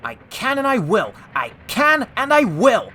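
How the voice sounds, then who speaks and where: An earnest storybook steam engine, acted out by a man, proud and plaintive by turns. He pitches high and bright, pushing each phrase out emphatically. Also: moderate pace, slight background noise, full character voice. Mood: determined. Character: proud red steam engine, male, plaintive then determined.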